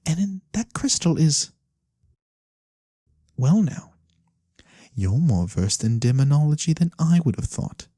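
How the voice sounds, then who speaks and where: A performer voicing a mysterious, courteous fantasy lorekeeper in a soft-spoken ASMR roleplay, breathy and theatrical with knowing pauses. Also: medium pitch, very animated, no echo, studio quality. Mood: knowing, hushed. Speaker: storyteller character.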